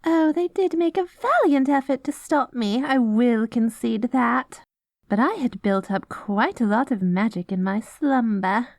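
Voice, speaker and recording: A woman's voice: fairly high pitch, animated, unhurried, dry recording. A woman voicing a vain, haughty, self-righteous queen in an audio roleplay, pompous, prim and melodramatic. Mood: smug. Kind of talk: scripted ASMR audio roleplay.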